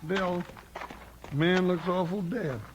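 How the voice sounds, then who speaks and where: A plaintive, good-hearted American cowboy sidekick, comic and folksy in an old radio western. His voice is high for a man, on a very noisy vintage recording. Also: steady tone, slow pace. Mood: puzzled, uneasy. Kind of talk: 1950s radio western acting.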